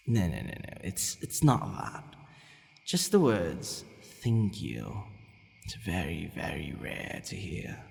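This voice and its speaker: Man playing a low, slow, brooding vampire lord. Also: vocal fry, a little mellow, heavily acted. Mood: flustered, softening. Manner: brooding, formal, hesitant sighing musing. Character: possessive male vampire lord, haughty yet secretly softening. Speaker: roleplay voice actor. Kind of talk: ASMR audio roleplay acting. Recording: long reverb, faint background noise.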